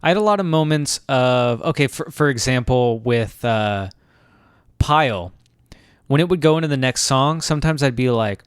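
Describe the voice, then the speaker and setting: A man's voice: medium pitch, moderate pace, clean tone, no echo, studio quality. A young man co-hosting a music podcast, chatting casually and musing through his thoughts with asides. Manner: relaxed, musing, trails off into asides. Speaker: podcast co-host.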